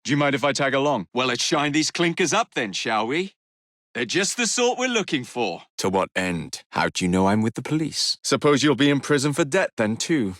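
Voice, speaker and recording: An actor playing a cocky, roguish young Victorian gang leader in a video game, with an English accent and a cheeky, theatrical, teasing way of speaking. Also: male voice, mid-range voice, very animated, normal speed, a bit bright, no room sound, studio quality. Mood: wry, casual, then bright, eager, then wry, determined. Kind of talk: dramatic video game dialogue lines.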